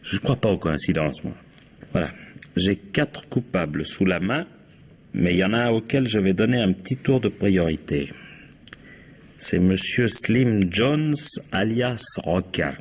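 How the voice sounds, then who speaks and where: A sardonic male police inspector in a radio crime drama. His voice sits low, and he gives orders brusquely, with dry, authoritative irony. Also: animated, unhurried, a little mellow. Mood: assured, deliberate.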